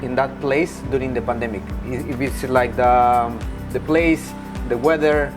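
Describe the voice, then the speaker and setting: Halting male interviewer over music. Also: medium pitch, fairly even intonation. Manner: halting, restarts questions, eager agreement. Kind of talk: casual conversational interview.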